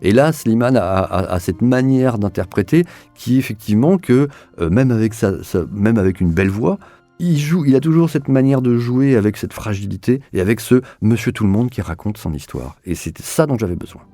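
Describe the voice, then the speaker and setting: A man's voice: mid-range voice, animated, moderate pace, dark tone, faint music behind. A man being interviewed as an audio series director, explaining his own work in a hesitant way, often repeating words as his thoughts form.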